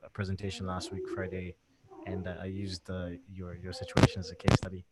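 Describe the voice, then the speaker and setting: A man hosting a casual livestream interview, talking in a relaxed, chatty way with many fillers and restarts. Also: low-pitched, a little fast, very noisy. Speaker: interview host.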